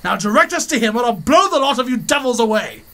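An actor playing a boastful adventurer hero in a radio serial, bold and commanding, with noise in the background. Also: male voice, extremely high pitch, big pitch swings, fast, a bit bright, heavily acted. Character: boastful male adventurer, brash sharpshooter hero. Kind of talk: 1930s radio serial acting.

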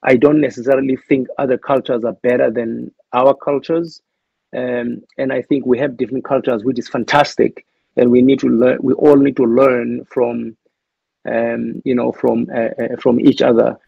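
A man's voice: mid-range voice, level tone, normal speed, gravelly, slightly rough, no room sound, dull audio. A man with a South African accent, speaking as an interview guest in a thoughtful, hesitant way with many restarts. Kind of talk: reflective interview talk.

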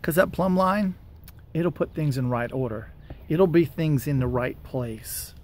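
Higher-voiced American man, casual devotional talk. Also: a little slow, a little mellow. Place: outdoors by a wooded parking lot. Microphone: camera or phone at arm's length. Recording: outdoor ambient noise.

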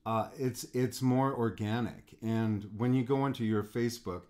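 A man's voice: mid-range voice, steady tone, moderate pace, darkish tone. A middle-aged man, an art coach hosting a podcast interview, who often repeats words as he starts a thought. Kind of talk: interview conversation.

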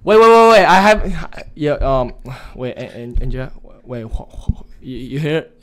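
A man's voice: mid-range voice, very animated, unhurried, fairly bright tone. A young man streaming from a small bedroom setup and talking into a desk mic. He speaks in a loose, slangy way, tripping over his words and repeating himself. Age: young adult.

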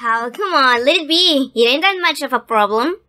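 Woman as a very high-pitched, crude cartoon girl. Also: expressive, normal speed, sharp tone. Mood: lazy, sarcastic. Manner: crude, sarcastic. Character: brash, lazy, foul-mouthed cartoon young woman. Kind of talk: animated parody voice acting.

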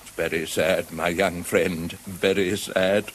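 A man's voice: a little high, sing-song, unhurried, fairly bright tone, heavily acted. An older man with a British accent playing a solemn, grumpy, pompous old badger in a radio drama. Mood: mournful, solemn. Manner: pompous, ponderous, muttering. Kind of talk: 1970s BBC radio drama acting. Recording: vintage radio broadcast, faint background noise.

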